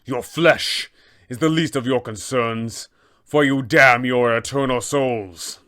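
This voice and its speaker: A man voicing a booming fallen angel abbot. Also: a bit bright. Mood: righteous. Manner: slow, booming, proclamatory delivery. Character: fallen angelic male abbot, solemn and grandiose.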